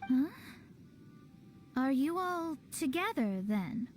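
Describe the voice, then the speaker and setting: A woman's voice: sits high, sing-song, slow, darkish tone, slight background noise, full character voice. A woman voicing a proud, scholarly young astrologist mage in a video game, self-assured and precise in her speech. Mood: surprised. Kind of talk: video game character acting.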